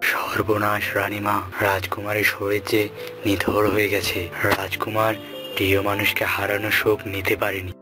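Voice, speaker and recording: Man playing a grave, low-toned royal physician. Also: completely flat delivery, moderate pace, loud music behind the voice, lightly acted. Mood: grave alarm. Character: grave male royal physician, respectful and worried. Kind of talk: dramatic cartoon story acting.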